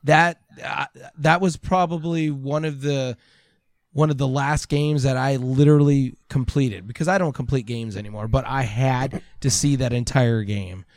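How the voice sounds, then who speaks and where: A man's voice: mid-range voice, moderate pace, no echo. A man co-hosting a podcast, chatting casually about video games and acting out little quotes as he tells stories. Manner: animated storyteller, acts out imagined quotes. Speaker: podcast co-host.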